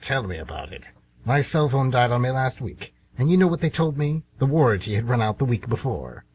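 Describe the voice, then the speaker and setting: An actor playing the Devil as a scheming, irritable, sardonic dealmaker in an amateur radio comedy. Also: male voice, medium pitch, very animated, a little fast, darkish tone, breathy edge. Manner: sardonic, insinuating, grumbling.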